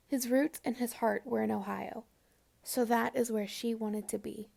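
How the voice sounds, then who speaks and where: A woman narrating a scripted memorial profile, reading in a measured, solemn way. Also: fairly high pitch, gravelly, dark tone.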